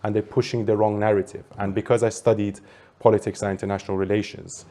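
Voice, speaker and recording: Iran-born commentator, 30s, lower voice, earnest and fluent as an interviewee. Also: male voice, flat delivery, fast, warm tone. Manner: earnest, fluent, talks at length. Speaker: political commentator. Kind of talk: interview answers.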